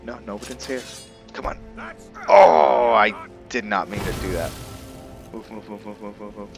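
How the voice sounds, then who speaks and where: A man with a low-pitched voice commentates his roleplay game in a casual way, chatting to viewers and muttering short reactions as he plays. Prominent music runs behind his voice. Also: expressive, moderate pace, creaky. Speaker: gaming video host playing and commentating.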